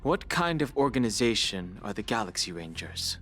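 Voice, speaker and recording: A skeptical interrogator from a fantasy video game. The pitch is medium but moves widely, and the delivery is formal and guarded. Background music runs underneath. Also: male voice, very animated, fairly bright tone. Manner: formal, measured, questioning. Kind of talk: video game character acting.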